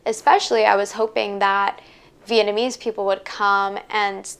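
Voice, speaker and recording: Young woman guest, smooth clear voice, relaxed and chatty, filler-heavy. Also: mid-range voice, normal speed. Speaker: podcaster and workshop guest. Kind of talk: casual interview answers.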